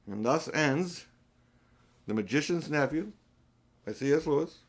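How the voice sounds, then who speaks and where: A man's voice: fairly high pitch, expressive, measured pace, slight background noise. An older man narrating a children's storybook as an audiobook reader, occasionally stumbling and restarting a phrase. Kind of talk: storybook narration read aloud, with small slips and restarts.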